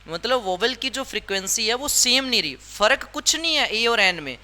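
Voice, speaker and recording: A man's voice: very high voice, expressive, moderate pace, sharp tone. A male English grammar teacher explaining a lesson step by step, repeating his points and often asking the class questions.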